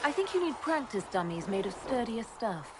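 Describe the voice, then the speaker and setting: An actress playing a principled, questioning elven mage heroine in a fantasy video game, direct and plain-spoken. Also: female voice, a little high, big pitch swings, normal speed, noisy background. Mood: wry.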